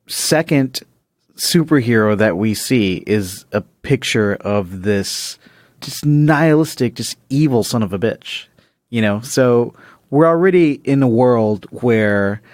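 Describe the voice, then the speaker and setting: A man's voice: mid-range voice, darkish tone, dry recording, studio quality. A man co-hosting a podcast, giving casual, emphatic opinions about a comic book. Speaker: podcast co-host.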